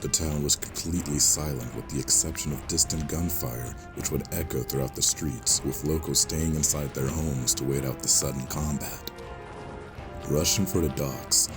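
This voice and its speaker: A man's voice: rumbling low, steady tone, normal speed, music in the background. A man giving scripted, dramatic narration as the storyteller of an audio drama.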